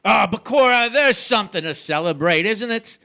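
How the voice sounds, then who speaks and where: A crafty, domineering husband in a theatrical crime drama. He blusters and wheedles in a high, forceful voice that swoops widely in pitch. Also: animated, normal speed, creaky, heavily acted. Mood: jovial, expansive.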